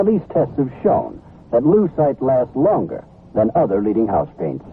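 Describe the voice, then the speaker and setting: Over tinny old radio, a man reads a scripted commercial. His lowish voice is gravelly, and his intonation swings very widely as he takes his time. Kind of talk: scripted 1970s product demonstration advert. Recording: tinny 1970s radio, faint noise.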